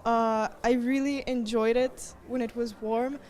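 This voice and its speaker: Young female student, fairly high voice, reflective and unhurried. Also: steady tone, a little mellow. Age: young adult. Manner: thoughtful, with frequent pauses and fillers. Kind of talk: interview answers.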